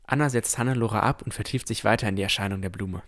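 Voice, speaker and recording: A man as a low-voiced, cautious young explorer narrator. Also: quick pace, fairly bright tone, lightly acted. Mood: calm narrating. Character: cautious, thoughtful young male explorer narrating his adventure. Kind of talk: first-person scripted narration in fantasy audio drama.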